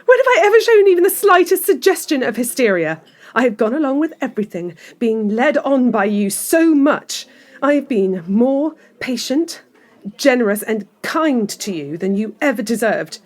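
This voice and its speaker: An actress playing a middle-aged, lovelorn mistress in an audio drama, articulate, insistent and emotional. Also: female voice, high voice, sing-song, moderate pace. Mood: indignant, pleading. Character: desperate pleading mistress, lovelorn and long-suffering.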